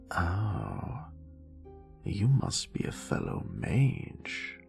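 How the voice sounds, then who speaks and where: A man's voice: sits low, gravelly, full character voice. A man voicing a grumpy, sassy British wizard, a haughty former professor with theatrical, dryly sarcastic delivery in an audio roleplay. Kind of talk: scripted character monologue, audio roleplay.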